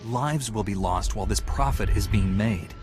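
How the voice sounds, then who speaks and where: An actor playing a calm, helpful Jedi knight hero in a video game, speaking in a measured, direct way. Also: male voice, fairly low pitch, lightly acted. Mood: calm concern. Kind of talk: scripted video game dialogue. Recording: game music behind.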